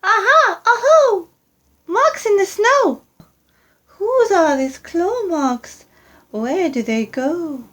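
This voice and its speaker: A woman's voice: extremely high pitch, lively intonation, takes it slowly, fairly bright tone, voice pushed up, heavily acted. A woman voicing a bold, curious young female monster child in a storybook, exclaiming and asking singsong questions. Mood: excited.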